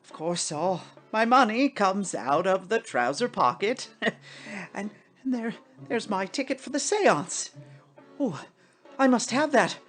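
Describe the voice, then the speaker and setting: A woman voicing a fussy, bewildered, stammering gentleman ghost in a storybook reading. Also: medium pitch, expressive, a little slow, faint music behind, voice pushed up. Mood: fussy alarm. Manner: stammering, flustered, prone to exclaim. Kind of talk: theatrical storybook character voice.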